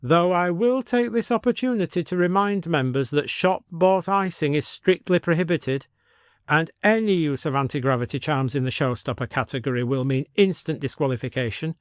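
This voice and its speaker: A man's voice: fairly high pitch, moderate pace, clean tone, no room sound, studio quality, voice pushed up, lightly acted. A man voicing a prim, bossy upper-class village lady with a commanding, formal manner in an audio story. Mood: prim, firm.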